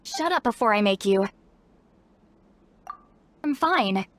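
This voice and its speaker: A woman's voice: fairly high pitch, lively intonation, takes it slowly, a little hiss or hum. A woman playing a blunt, self-assured, protective female spellcaster in a video game. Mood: blunt, unbothered.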